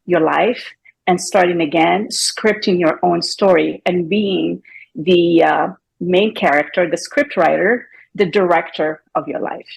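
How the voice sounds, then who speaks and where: A woman's voice: lowish voice, a little slow, gravelly, slightly rough, rough recording. A middle-aged woman from Morocco with a Moroccan accent, a guest coach chatting loosely with filler words and restarts. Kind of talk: casual interview talk.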